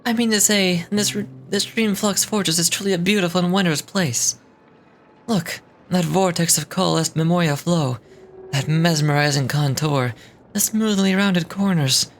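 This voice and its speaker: A woman's voice: lowish voice, normal speed, slight background noise, pitched below the performer's own voice, natural voice. A woman reading game dialogue aloud as a courteous, romantic male dreamweaver, formal and poetic in delivery. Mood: rapturous.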